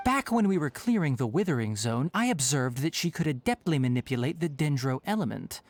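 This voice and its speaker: A young man playing a composed, scholarly forest ranger in a video game, articulate, measured and matter-of-fact. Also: fairly high pitch.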